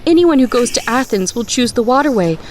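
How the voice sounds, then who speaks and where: A woman's voice: a little high, expressive, brisk, a little mellow, a little hiss or hum, close to an everyday voice. A woman playing a tender, worried mother. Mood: earnest. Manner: tender, pleading, solemn. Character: loving mother of a young hero, worried, wise.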